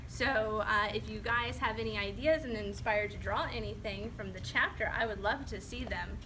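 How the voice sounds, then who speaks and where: A woman's voice: medium pitch, normal speed. A woman, a children's author, talking informally and encouragingly to a group of young children, in a conversational way. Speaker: children's author giving a reading. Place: public library, indoors with a group of children. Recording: noisy room, far from the mic. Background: clear room noise and children.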